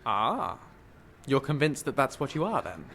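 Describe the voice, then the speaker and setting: A man voicing an eager, skeptical scholar in a fantasy game, explaining things in an animated, didactic way. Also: mid-range voice, measured pace, slight background noise. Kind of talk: fantasy video game dialogue.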